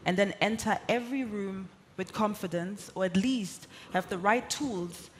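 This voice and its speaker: A woman whose first language is German delivers a motivational keynote in a steady, mid-range voice. She sounds earnest and emphatic, stacking her points into repeated lists. A faint hiss sits under her voice. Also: medium pitch, a little slow. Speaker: keynote speaker. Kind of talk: motivational conference talk.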